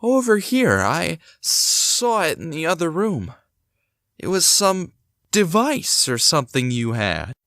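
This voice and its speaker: A man's voice: on the high side, huge pitch swings, slow pace, fairly bright tone, no room sound, studio quality, full character voice. A man playing a suspicious, determined young man in an audio drama, urgent and confrontational. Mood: wary.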